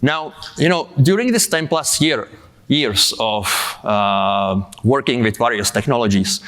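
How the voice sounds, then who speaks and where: A man's voice: on the low side, fairly bright tone. Czech-born man giving a casual tech talk. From Czech Republic. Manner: casual, many fillers and asides. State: has a cold. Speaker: conference speaker.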